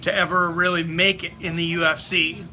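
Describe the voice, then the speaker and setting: High-pitched guy doing casual live stream chat, reading out comments, really bright voice. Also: level tone, moderate pace. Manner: chatty, reads viewer comments aloud, urges likes.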